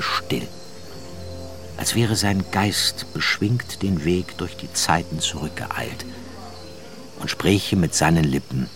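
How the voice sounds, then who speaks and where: A man narrating a literary radio drama in a measured, atmospheric storytelling manner. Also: low-pitched. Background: music.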